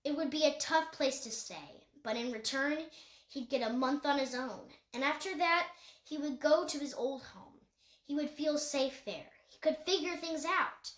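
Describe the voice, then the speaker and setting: Lady reading a storybook out loud, highish voice, small slips here and there, roomy echo. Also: a little slow. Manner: occasional stumbles and self-corrections. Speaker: audiobook reader. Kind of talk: scripted story narration. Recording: echoey room.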